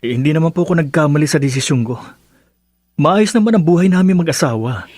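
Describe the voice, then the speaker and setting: Earnest Filipino husband, dry sound. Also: male voice, fairly high pitch, lively intonation, fast talker, a little mellow, no room sound. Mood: pleading. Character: earnest, devoted young husband and dutiful son. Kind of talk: radio drama acting.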